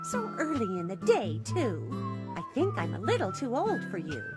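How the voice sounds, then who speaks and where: A woman's voice: a little high, wildly swooping pitch, normal speed, over loud music. A woman voicing a sweet, fussy elderly widowed hotel keeper in a video game, polite and fluttery. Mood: coy, fussy. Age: older.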